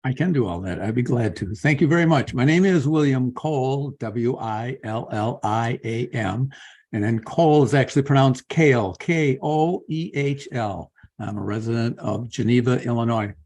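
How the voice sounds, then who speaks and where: A man reading earnest, measured testimony at a public hearing over a video call. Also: mid-range voice, a little mellow. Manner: earnest, measured, spells out his name slowly. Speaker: public commenter.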